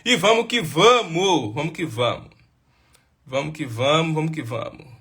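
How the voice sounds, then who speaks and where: A man's voice: a little high, animated, a little slow, fairly bright tone. A man hosting a live stream, talking in a casual, upbeat way and repeating catchphrases.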